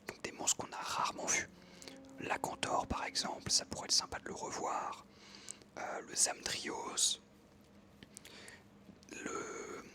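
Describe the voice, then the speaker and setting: An ASMR creator whispering casually into a close microphone over gameplay, rambling with frequent hesitations. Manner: soft whisper, casual and rambling with many fillers.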